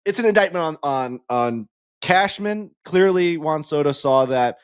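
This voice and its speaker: A man's voice: fairly high pitch, animated, a little slow, clean tone, no echo, studio quality. A man co-hosting a podcast, ranting in casual sports talk and swearing freely. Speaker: podcast co-host.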